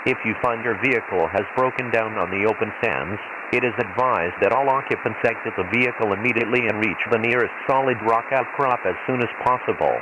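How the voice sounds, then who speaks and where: Casting: man performing formal, impersonal male sci-fi weather-radio announcer, lowish voice, measured bulletin delivery, radio filter with clear static hiss. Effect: radio transmission filter. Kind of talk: scripted emergency weather bulletin, read like automated weather radio. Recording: hissy, noisy shortwave-style radio transmission.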